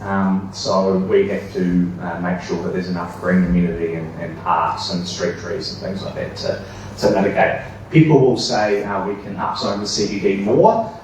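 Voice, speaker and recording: Deep-voiced New man, echoey hall. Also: fairly even intonation, moderate pace, a little mellow, slight background noise. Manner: earnest, stumbling over restarts. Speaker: council candidate. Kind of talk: debate answer. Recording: echoey hall, distant mic.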